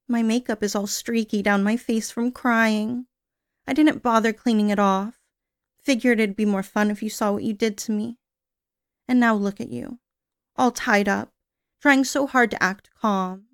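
A woman's voice: on the high side, no echo, clean studio sound. A woman playing a cooing, obsessive young lover. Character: obsessive unstable young woman, clingy and menacing. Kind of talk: audio roleplay monologue.

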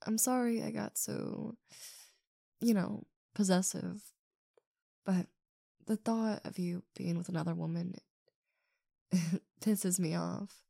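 A woman playing a possessive but affectionate girlfriend in an intimate roleplay audio, with a breathy, drawn-out delivery. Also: fairly low pitch, fairly even intonation, mellow, no room sound, studio quality, heavily acted. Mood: sheepish, affectionate.

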